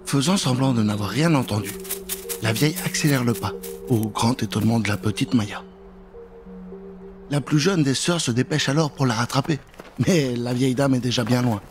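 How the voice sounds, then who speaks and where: A man in his thirties telling a children's tale in an expressive, theatrical style, close to the microphone. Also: mid-range voice, moderate pace, music in the background. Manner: expressive, dramatic. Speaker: storyteller. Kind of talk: theatrical scripted storytelling for children. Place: indoor recording setup. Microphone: close microphone with pop filter.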